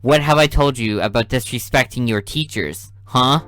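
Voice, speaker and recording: A man's voice: medium pitch, moderate pace, soft background music, natural voice. A man reading the lines of a stern, tired detective father who scolds his daughter. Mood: scolding. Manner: calm then sharply interrogating.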